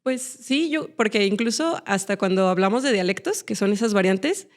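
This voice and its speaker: A woman's voice: mid-range voice, animated, normal speed. A woman from Aguascalientes with a Mexican accent, a guest on a podcast. She talks thoughtfully and uses many fillers. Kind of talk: casual chat.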